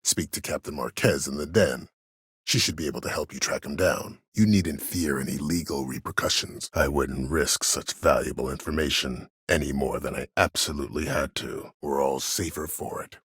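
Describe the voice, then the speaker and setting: Very deep, husky, disgraced admiral. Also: male voice, a bit bright, no room sound, clean studio sound, heavily acted. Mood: measured, calculating. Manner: formal, measured, coolly authoritative. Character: disgraced imprisoned former war admiral, cold, proud, calculating. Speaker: video game voice actor. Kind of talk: dramatic video game dialogue.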